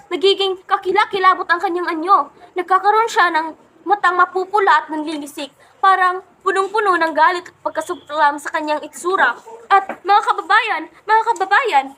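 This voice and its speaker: A woman playing an excitable field reporter in a radio play, dramatically addressing listeners as if reporting live. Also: very high-pitched.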